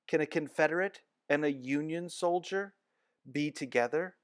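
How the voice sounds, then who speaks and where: A man's voice: fairly high pitch, moderate pace, smooth, no echo, studio quality, pitched above the performer's own voice, natural voice. A man voicing an anxious, earnest boy in a storybook read-aloud, asking worried questions.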